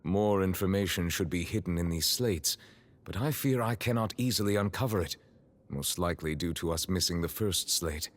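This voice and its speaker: An actor playing a grave, formal male judge in video game dialogue, speaking in a measured, solemn way. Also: low voice, natural voice.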